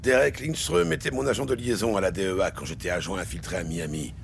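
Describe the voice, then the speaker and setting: An actor playing a bitter, weary police lieutenant in a French noir audio drama, with a gravelly, brooding, terse delivery. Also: male voice, sits low, fast talker, a little hiss or hum. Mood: menacing, probing. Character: hard-boiled, bitter, weary male New York police lieutenant. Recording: studio drama with loud music behind.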